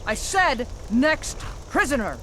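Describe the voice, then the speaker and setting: A woman's voice: high-pitched, very animated, takes it slowly, bright, forceful tone, full character voice. An actress playing a stern, impatient female Imperial captain in a fantasy video game, curt and commanding. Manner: curt, commanding, barking orders. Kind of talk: video game character acting. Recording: noisy outdoor game scene ambience.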